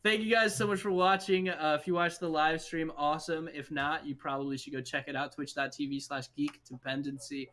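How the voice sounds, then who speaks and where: A man's voice: fairly high pitch, steady tone, brisk, hardly any pauses, a bit bright. A young man chatting casually and informally as host of a live-streamed fan discussion.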